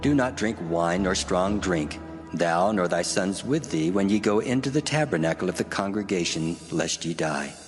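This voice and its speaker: An actor playing a grave, commanding God in a dramatized scripture reading, solemn and authoritative. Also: male voice, deep voice, expressive, moderate pace. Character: the Lord God, grave commanding male deity. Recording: long cavernous reverb. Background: music.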